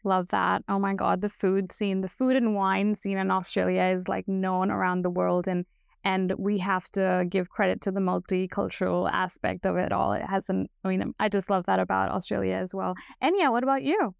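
An upbeat woman hosts a podcast with effusive enthusiasm. Her voice has some creak and is recorded close and dry. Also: mid-range voice, fairly even intonation, normal speed, dry recording. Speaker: podcast host. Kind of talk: upbeat podcast interviewing with a scripted intro.

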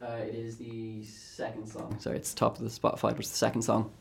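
Low, even-toned Irish musician. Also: male voice, fairly quick, creaky, slightly rough. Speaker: singer-songwriter guest. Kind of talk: casual studio chat.

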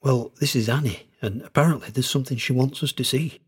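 Man doing a very husky, bewildered fellow. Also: mid-range voice, fast, voice pushed up. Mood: awkward. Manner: stammering, incredulous. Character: bewildered, hesitant man drawn into strange events. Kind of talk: audio story character acting.

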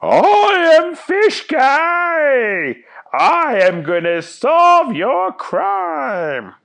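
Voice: medium pitch, very animated, takes it slowly, fairly bright tone, pitched above the performer's own voice, heavily acted. A storyteller voicing a proud, earnest fish-man superhero with a grand, declaring way of talking. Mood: proud, boastful.

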